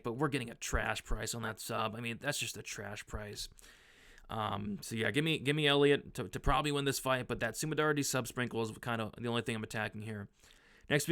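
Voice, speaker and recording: A young man with a hoarse edge running through his fight picks in a loose, rambling way, full of "um" and restarts. Under the roughness his tone stays smooth and resonant, at a middling pitch. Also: bright, forceful tone. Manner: rambling, filler-heavy, frequent restarts. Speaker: sports betting analyst. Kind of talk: casual betting breakdown.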